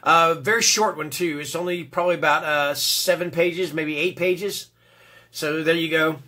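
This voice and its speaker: A man in his fifties talks through a casual book review in a small room at home, his nose stuffed up and congested. His voice sits high for a man and rings bright and forceful, and he rambles along with lots of fillers and restarts. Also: steady tone, moderate pace. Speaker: book reviewer, channel host. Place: small dim home room, seated indoors.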